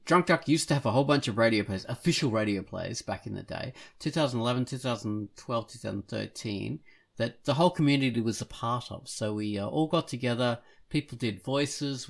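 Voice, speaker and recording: An enthusiastic podcast host with a gravelly, creaky voice at a middling pitch. The talk is casual and effusive, like a chat among friends.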